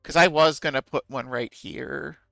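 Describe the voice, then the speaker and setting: A man's voice: medium pitch, steady tone, moderate pace, creaky, sharp tone, dry recording, faint music behind. A man streaming a game, chatting casually with viewers in a musing, self-deprecating way.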